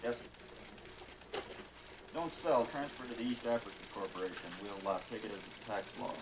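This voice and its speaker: An actor playing a brisk, cold businessman, clipped and businesslike, in a vintage suspense drama. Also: lowish voice, natural voice. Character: brisk, cold businessman taking orders by report. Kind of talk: mid-20th-century suspense drama acting. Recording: noisy vintage drama soundtrack.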